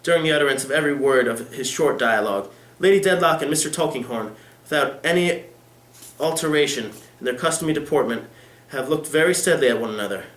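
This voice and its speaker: A man's voice: mid-range voice, fast, fairly bright tone. A teenage male student giving a scripted dramatic reading of a classic novel as narrator. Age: teens. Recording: echoey room.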